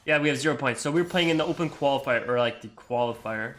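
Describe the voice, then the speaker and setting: Young adult man, American accent, bright voice, casual rambling gameplay commentary. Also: medium pitch, quick pace. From Iceland. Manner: rambling, full of likes and you-knows. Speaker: gamer and video creator.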